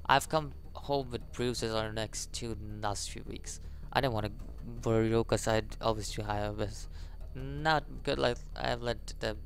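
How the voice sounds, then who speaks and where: A man's voice: medium pitch, fairly even intonation, unhurried, vocal fry, a little hiss or hum. A man hosting a gaming video, reading story text aloud with stumbles and adding reactive commentary, faint music behind. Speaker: gaming channel host. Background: faint game music.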